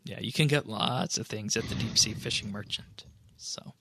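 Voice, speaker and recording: Creaky-voiced man hosting a gaming podcast. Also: fairly low pitch, moderate pace, bright, forceful tone, slight background noise. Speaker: podcast host. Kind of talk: casual commentary on game patch notes.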